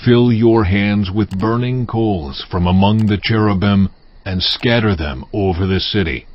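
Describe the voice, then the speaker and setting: A man voicing God in a dramatized scripture reading, slow and solemn with stern pronouncements. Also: lowish voice. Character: stern, commanding voice of God, ancient male deity. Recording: dull lo-fi audio with music bed. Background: music.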